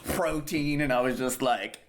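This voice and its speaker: A man's voice: mid-range voice, measured pace. Man in his 30s, podcast cohost, rambly, lots of ums. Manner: rambling, frequent ums and restarts. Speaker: podcast co-host. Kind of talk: casual chat.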